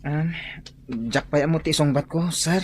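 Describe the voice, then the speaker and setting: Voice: medium pitch, level tone, normal speed, slight background noise, close to an everyday voice. A performer playing a polite, earnest teenage boy student in an audio drama. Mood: polite, hesitant.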